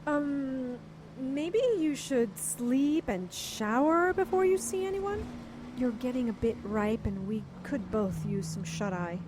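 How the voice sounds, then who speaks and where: A woman playing a sharp-tongued spellcaster sidekick in an audio drama, wry and practical. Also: high-pitched, takes it slowly, background noise.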